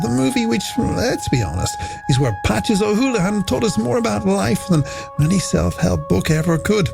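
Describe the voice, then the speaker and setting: A man's voice: fairly high pitch, gravelly, a little mellow, breathy edge. A man presenting a late-night music radio show in chatty, playful, scripted patter.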